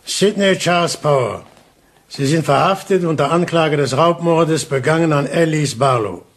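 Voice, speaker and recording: Police inspector played by a man, radio crime drama. Fairly high male voice, deliberate, taunting, coolly in command. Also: close to an everyday voice. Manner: deliberate, theatrical, insinuating. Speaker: actor. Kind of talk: German radio play acting.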